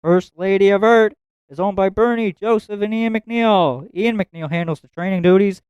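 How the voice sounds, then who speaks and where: High-voiced man calling a harness race. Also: clear, resonant tone, no room sound, lo-fi sound. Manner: rapid, rhythmic listing of positions and times. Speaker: race track announcer. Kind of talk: live harness race call.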